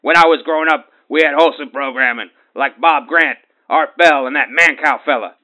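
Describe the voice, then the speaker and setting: A man's voice: a little high, a little fast, bright, forceful tone. A man playing a ranting bigoted caller. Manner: ranting, threatening, pompous. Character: bigoted blustering middle-aged male radio caller.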